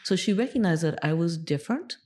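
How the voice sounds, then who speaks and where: A middle-aged woman, a native Japanese speaker from Japan, telling stories from her past in a relaxed interview, with many "yeah"s. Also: lowish voice, fairly quick. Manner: reflective storyteller, lots of yeah fillers. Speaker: guest, sex educator. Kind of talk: casual interview conversation.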